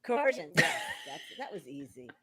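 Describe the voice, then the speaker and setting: A woman's voice: sits low, sing-song, moderate pace, crowd noise. A woman in her sixties hosting a casual talk show, quizzing her guests in a playful, game-like way. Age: 60s. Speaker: radio show host.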